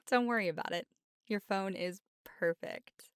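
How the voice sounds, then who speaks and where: A woman's voice: medium pitch, smooth, dry recording, studio quality, lightly acted. A young woman playing a friendly, easily flustered college bookshop clerk in a chatty ASMR roleplay. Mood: warm, reassuring. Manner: chatty, stammering when flustered. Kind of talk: ASMR roleplay, scripted one-sided conversation.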